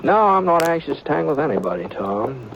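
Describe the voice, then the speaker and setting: An American actor playing an easygoing frontier gunman who also narrates, in halting, folksy radio western acting. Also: on the high side, big pitch swings, gravelly. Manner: halting, folksy drawl with many hesitations. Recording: noisy dull old radio broadcast.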